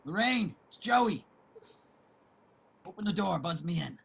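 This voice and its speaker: A man playing a rough-spoken, yearning homeless man in a dramatic table reading, earnest, colloquial and pleading. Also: high voice, animated, a little slow, a bit bright, exaggerated voice. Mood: calling out.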